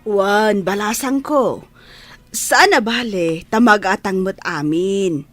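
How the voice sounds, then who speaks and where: A woman's voice: medium pitch, slow, dry recording. A woman playing a kindly, grateful older fish seller in an audio drama, with an Ilocano Filipino accent.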